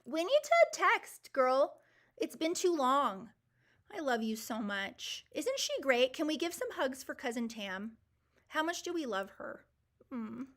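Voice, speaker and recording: A woman's voice: sits high, big pitch swings, measured pace, a bit bright. A woman in her forties hosting a live Q&A, answering viewer comments in an enthusiastic, emphatic and reassuring way. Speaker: host.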